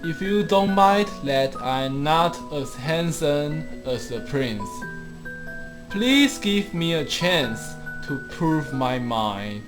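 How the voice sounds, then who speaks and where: A man playing a high-voiced, lovesick little dinosaur. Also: animated, slow, music in the background. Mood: tender, shy. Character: kind, lovesick little male dinosaur, humble and earnest. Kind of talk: amateur puppet show acting.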